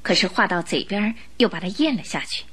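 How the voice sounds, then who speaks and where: A woman narrating a scripted radio drama in an expressive storytelling style. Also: medium pitch, quick pace, slightly rough. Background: music.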